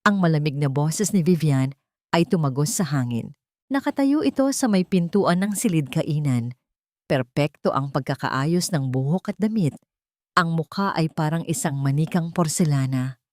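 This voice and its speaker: A woman reads a scripted dramatic story as narrator, in a measured and deliberate storytelling manner. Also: low-pitched, darkish tone, no echo, clean studio sound.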